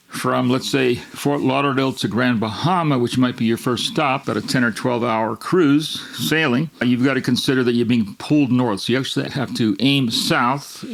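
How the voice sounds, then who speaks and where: Man, gravelly-edged, mid-pitched, host walking through a science story, loose and rambling. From Miami, Florida. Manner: rambling, with restarts and repetitions. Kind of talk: unscripted explanatory monologue.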